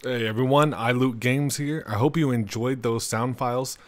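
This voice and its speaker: A man's voice: medium pitch, steady tone, normal speed. A man hosting a gaming channel, addressing viewers in a video outro.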